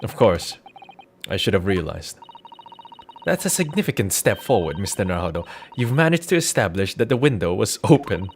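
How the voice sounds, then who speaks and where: A man's voice: fairly low pitch, big pitch swings, normal speed, a little hiss or hum. A man doing a theatrical, articulate read-through of courtroom video game dialogue. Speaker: video game streamer reading dialogue aloud.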